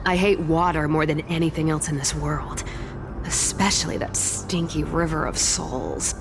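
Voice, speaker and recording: A woman's voice: deep voice, moderate pace, creaky, over music. A woman playing a sly, flippant young female trickster thief in a video game, with a playful, colloquial drawl. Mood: breezy, playful.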